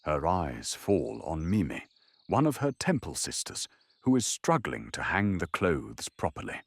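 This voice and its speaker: A man's voice: sits low, wildly swooping pitch, a little slow, husky, no room sound. A man narrating an audio drama in a dramatic, scripted storytelling style.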